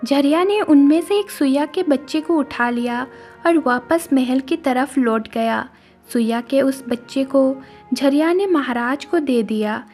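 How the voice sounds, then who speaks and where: High-pitched woman storyteller. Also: measured pace, a little mellow, faint music behind. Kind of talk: scripted story narration.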